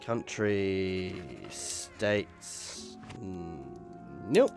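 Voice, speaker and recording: A man's voice: sits low, steady tone, extremely slow, over music. A man giving casual let's play commentary on a strategy game, thinking aloud with playful exclamations. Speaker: gaming video host.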